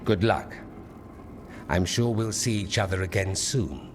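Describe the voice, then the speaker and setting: An actor playing a polished, articulate politician turned rebel in a video game, with a wry manner. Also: male voice, sits low, lively intonation, a little slow, background noise. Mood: firm, assured. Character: urbane male politician turned underground rebel, wry and idealistic. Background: music.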